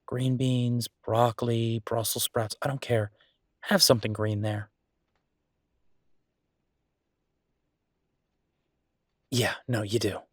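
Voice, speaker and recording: A man playing an affectionate, playfully grumbling young werewolf chef in an intimate, casual boyfriend roleplay audio, chatty and halting. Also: mid-range voice, level tone, no echo, studio quality, close to an everyday voice. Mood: playful, insistent.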